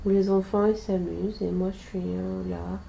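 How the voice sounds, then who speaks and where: A woman drifting through a past-life recall under hypnosis, halting and trailing off into murmurs. Her voice is gravelly and very dark, with a roomy echo around it. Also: mid-range voice, level tone, slight background noise. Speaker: hypnosis client.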